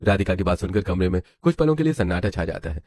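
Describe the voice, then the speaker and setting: A man's voice: sits low, animated, quick pace, a little mellow. A man narrating a scripted story. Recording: music under the voice. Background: background music.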